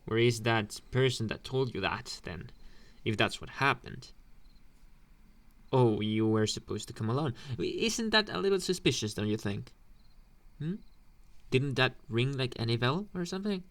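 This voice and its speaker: Delinquent school bully played by a man, unhurried and teasing, mid-range male voice, soft-hearted underneath, intimate ASMR boyfriend roleplay. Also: medium pitch, lively intonation. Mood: teasing, suspicious. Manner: taunting, drawn-out, questioning hums.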